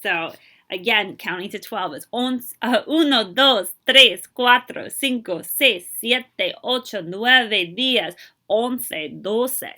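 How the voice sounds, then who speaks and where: A woman reads a picture book aloud for children. Her voice sits fairly high and is bright, with wide, animated swings in pitch. She reads expressively and stops to explain and translate Spanish words. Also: slow pace. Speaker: librarian reading aloud.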